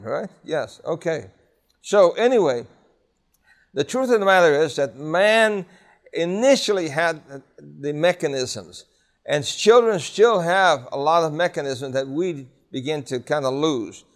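A man teaching a Bible class, conversational and emphatic, often asking the students questions. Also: on the high side. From New York City.

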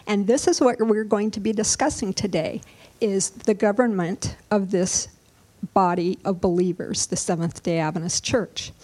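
A woman from Michigan moderating a church panel discussion, speaking gently and earnestly. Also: mid-range voice. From Michigan, USA.

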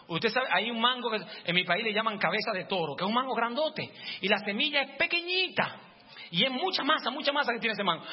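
A male pastor giving a fervent sermon in a church, at times rising to shouts. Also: very high-pitched, husky. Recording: long church reverb, dull lo-fi, faint music.

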